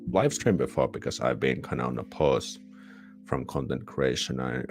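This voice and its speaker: A man with a Finnish accent hosts a live stream, his voice sitting very deep. He rambles casually and pokes fun at himself, restarting half-said words. Soft music plays faintly behind him. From Finland. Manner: rambling, chatty, self-deprecating, with false starts. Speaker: YouTuber hosting a live Q&A.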